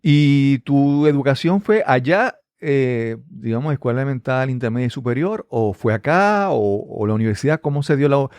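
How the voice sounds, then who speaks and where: A man's voice: medium pitch, normal speed, hardly any pauses, darkish tone, clear, resonant tone, no room sound. A man hosting a podcast interview, asking rambling friendly questions and often repeating words. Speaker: podcast host.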